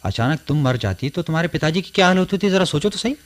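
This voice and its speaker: A man's voice: a little high, expressive, fast, lightly acted. A man playing an earnest, kindly, lovelorn man in a radio play, with dramatic delivery. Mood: kind, urgent. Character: earnest, kindly, lovelorn man torn between two women. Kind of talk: Hindi radio play acting.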